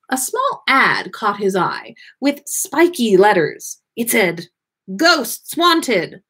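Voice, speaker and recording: Animated, slightly husky woman reading a story. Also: mid-range voice. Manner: animated, theatrical emphasis with occasional stumbles. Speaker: podcast host reading listener fan fiction aloud. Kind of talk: expressive story reading on a livestream.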